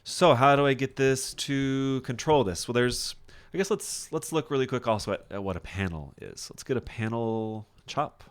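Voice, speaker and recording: A man teaching software in a casual screen-share tutorial, thinking aloud informally as he demonstrates each step. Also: medium pitch, animated, normal speed, few pauses, clean tone. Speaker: software instructor.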